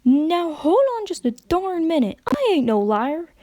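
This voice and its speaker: A woman's voice: very high-pitched, very animated, a little slow, mellow, no echo, pitched above the performer's own voice, full character voice. A woman voicing an earnest, plainspoken country pony mare with an American Southern accent and a folksy, emotional manner. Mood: indignant.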